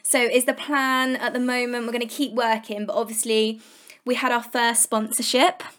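A woman's voice: fairly high pitch, flat delivery, normal speed, a bit bright. A young woman, hosting a casual interview, talking chattily with many "like"s and repeated words; her is Portuguese. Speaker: podcast host.

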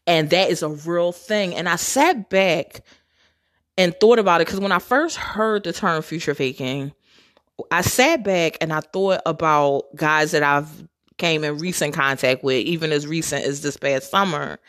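Sassy woman with a low voice. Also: a little slow, a bit bright. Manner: candid, sassy, swears freely, laughs often; addresses listeners as sisters and girl. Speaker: podcast host. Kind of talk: casual storytelling podcast.

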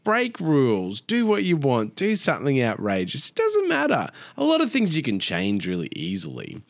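A man's voice: mid-range voice, wildly swooping pitch, moderate pace, creaky, dry recording. A man co-hosting a podcast in casual chat, exuberant and enthusiastic, laughing readily. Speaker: podcast co-host.

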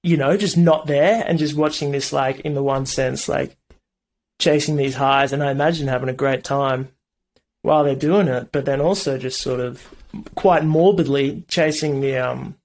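A man's voice: mid-range voice, fairly even intonation, normal speed, a little mellow. An Australian man, a band member, talking in a casual interview, hesitant and thoughtful. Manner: hesitant, thoughtful, lots of fillers.

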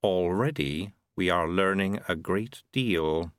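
A man voicing a cold, formal, inhuman being in male human shape, speaking in deliberate, measured oratory for an audiobook. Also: low-pitched, smooth, no room sound, clean studio sound. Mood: coolly menacing. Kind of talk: audiobook character acting.

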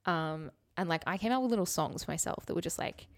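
Lower-pitched woman in casual, dry-sounding chat. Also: fast, no echo. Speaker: podcast co-host. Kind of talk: casual chat, reading aloud with asides.

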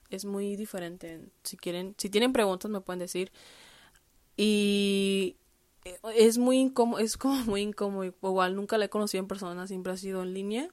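Young woman, casual podcast host, frank and talkative, mid-pitched voice, dry close recording. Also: no room sound. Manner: candid, chatty, with frequent fillers and self-corrections. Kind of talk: casual personal monologue. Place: lives in the United States.

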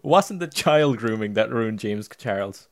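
Young male podcast host. Lively pitch, joking and profane in banter. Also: measured pace. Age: young adult. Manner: joking, swearing, teasing banter. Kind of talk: casual chat.

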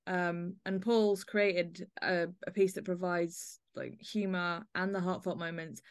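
A woman's voice: lowish voice, flat delivery, a little slow, clean tone. A woman hosting a podcast, chatting conversationally as she reviews audio drama. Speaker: podcast host.

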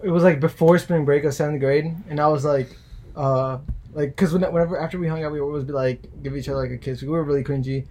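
A young woman with a low voice and a slight lisp, chatting casually with friends. The talk is halting and repetitive, over some faint noise. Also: fairly even intonation, warm tone. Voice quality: slight lisp from dental aligners. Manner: halting, repeats himself or herself insistently.

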